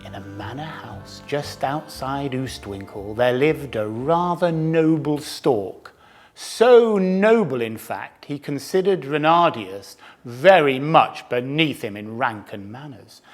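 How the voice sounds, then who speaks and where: A man's voice: medium pitch, animated, takes it slowly, soft background music. A man voicing a sly, boastful male fox who tells his cubs storybook tales in a theatrical, relishing way. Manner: relishing, theatrical, savouring food words. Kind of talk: storybook narration.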